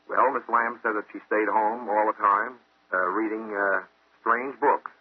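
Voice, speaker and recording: A man answering haltingly as a guest on a radio show. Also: fairly low pitch, fairly even intonation, slightly rough, no room sound. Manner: halting, hesitant. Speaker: guest contestant. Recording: muffled vintage radio recording.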